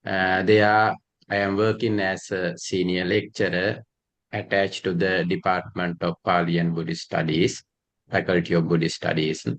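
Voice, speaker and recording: A man from Sri Lanka introducing himself as a panelist on a video call, halting with many hums and fillers. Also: low-pitched, flat delivery. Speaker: panelist, university lecturer.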